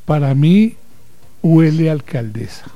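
A man co-hosts a radio interview, slipping in teasing, joking remarks. His voice sits high for a man. Faint music plays behind him. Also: lively intonation, measured pace, no room sound, muffled recording. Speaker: radio co-host.

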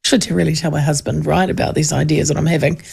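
Kiwi woman, talkback host, gravelly voice, chatty and self-mocking. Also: low voice, level tone, fast talker, darkish tone. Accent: New Zealand. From New Zealand. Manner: chatty, self-deprecating, laughs often. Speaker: talk radio host. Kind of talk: casual talkback radio chat reading texts. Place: radio studio. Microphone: studio microphone.

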